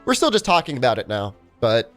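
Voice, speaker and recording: A man's voice: mid-range voice, wildly swooping pitch, a little fast, a bit bright, soft background music. A young man with a phlegmy cold voice, chatting casually with his stream while he plays, full of "I mean" and "like". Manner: rambling, lots of "I mean" and "like". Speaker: speedrun streamer.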